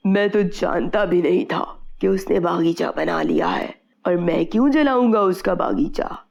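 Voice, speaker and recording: A woman's voice: on the low side, a little slow, vocal fry, a little mellow, lo-fi sound, pitched below the performer's own voice. A woman voicing a jealous, scheming male orchard owner in a dramatic children's storybook manner. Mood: defensive denial.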